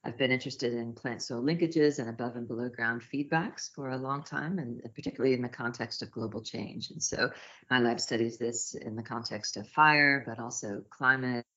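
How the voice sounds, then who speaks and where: A woman's voice: very deep voice, normal speed, fairly bright tone. Woman researcher chatting on a podcast, sound is a bit muffled. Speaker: guest researcher. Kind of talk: conversational podcast interview.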